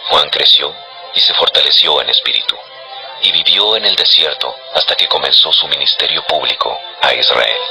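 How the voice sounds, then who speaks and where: A man narrating scripture as a solemn, measured storyteller for a dramatized audio production. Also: a bass voice, creaky, pushed and bright, soft background music, rough recording.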